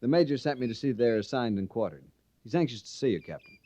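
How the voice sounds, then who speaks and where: An actor playing a polite, deferential young lieutenant in an old radio western, proper and formal. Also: male voice, mid-range voice, lively intonation, fast talker, mellow, no echo, natural voice. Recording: muffled vintage radio recording.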